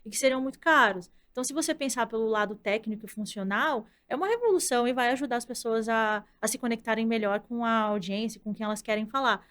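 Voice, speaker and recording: A woman's voice: fairly high pitch, quick pace, few pauses, fairly bright tone. A Brazilian woman in her thirties, a content director, explaining her views in a thoughtful, conversational way. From Brazil, from the countryside. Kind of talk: casual interview conversation.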